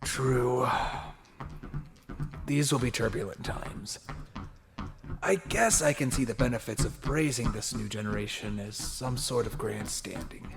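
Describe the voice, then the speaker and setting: A man as an earnest, skeptical ninja, mid-pitched. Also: measured pace, vocal fry. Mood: reserved, thoughtful. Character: earnest, skeptical male ninja, respectful subordinate. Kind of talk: fan-made anime audio drama.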